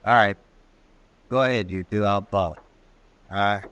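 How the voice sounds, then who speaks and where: An actor playing a frontier bank robber, a wary gang boss, in vintage radio drama style. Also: male voice, fairly low pitch, normal speed, fairly bright tone, clean tone, lightly acted. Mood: wary, commanding. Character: wary, bossy Old West bank robber gang leader. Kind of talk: 1950s radio drama acting.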